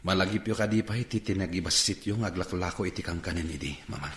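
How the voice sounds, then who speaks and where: A man's voice: low voice, quick pace, slight background noise, natural voice. A Filipino man acting a humbled young job-seeker from a fallen rich family in a radio drama, speaking hesitantly and politely. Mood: earnest. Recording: roomy, echoing sound.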